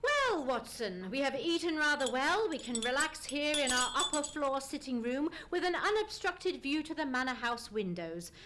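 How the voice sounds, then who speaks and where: Performer as radio-play gentleman sleuth: high-pitched, very animated, precise and authoritative, formal diction. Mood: musing, confiding. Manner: formal, measured, emphatic diction. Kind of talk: old-time radio play acting. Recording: live stage radio play recording.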